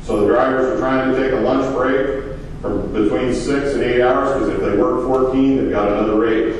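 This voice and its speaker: A trucking company owner with a big voice, giving a plainspoken, filler-heavy talk at a conference. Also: male voice, mid-range voice, fairly even intonation, moderate pace, slight background noise. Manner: plainspoken, loose, with many fillers. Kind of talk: conference presentation. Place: large echoey conference room. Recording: echoey hall, distant.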